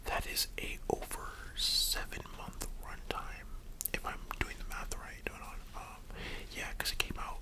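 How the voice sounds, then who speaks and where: An ASMR host talks entirely in a breathy, unvoiced whisper. The delivery is casual and rambling, like a relaxed discussion held directly with the listener. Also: a little slow. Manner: soft-spoken rambling, addresses the listener directly.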